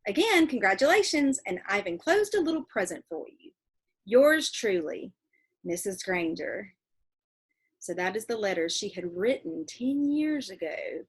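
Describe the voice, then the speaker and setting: A woman reading a children's story aloud like a teacher, expressively, pausing to explain and check in with her young listeners. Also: medium pitch, slightly rough.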